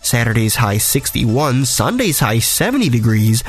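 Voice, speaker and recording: A man hosting a weather podcast as a meteorologist, his voice raspy from a cold, talking through the forecast in a conversational, detailed way. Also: medium pitch, very animated, measured pace.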